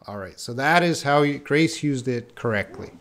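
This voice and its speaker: A man's voice: mid-range voice, lively intonation, fairly bright tone, slight background noise. A man in his thirties from Western Canada with a light Canadian accent, patiently answering viewers' questions in a live English lesson. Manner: slow, patient explaining with many pauses. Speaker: English teacher. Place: small indoor room by a whiteboard.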